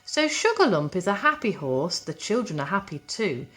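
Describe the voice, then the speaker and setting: A woman's voice: on the low side, big pitch swings, normal speed, fairly bright tone. A woman reading a children's rhyming picture book aloud as a school storyteller, expressively and with a rhythmic cadence.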